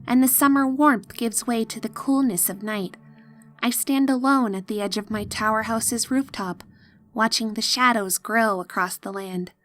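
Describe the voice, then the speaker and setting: A woman voicing a studious young female pony stargazer, awed and inquisitive, in expressive, deliberate first-person storytelling. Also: fairly high pitch, close to an everyday voice. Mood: wistful wonder. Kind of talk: dramatic first-person audiobook narration. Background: background music.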